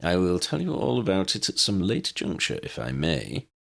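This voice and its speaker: A man voicing a bookish, courtly uncle in an audiobook, measured, wordy and wry. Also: low voice, huge pitch swings, creaky, fairly bright tone, pitched below the performer's own voice, heavily acted. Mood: courtly, grateful. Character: bookish, courtly uncle with ornate speech and calm wit.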